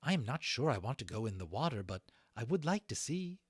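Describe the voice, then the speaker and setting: A man voicing a calm, curious female dragon in a storybook reading. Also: mid-range voice, a little fast, slightly rough, no echo, studio quality. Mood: curious, hesitant.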